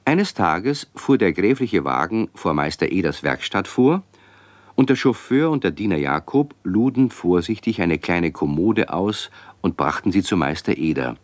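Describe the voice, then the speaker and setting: A man narrates a children's story in a low voice. His pitch moves expressively, and the sound is dry and close. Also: sing-song, unhurried, dry recording.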